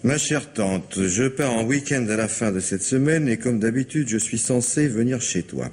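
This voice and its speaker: A man's voice: medium pitch, animated, moderate pace, darkish tone, slight background noise, close to an everyday voice. A man acting a matter-of-fact police inspector with dry asides in a French radio crime drama. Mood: reading aloud, wry.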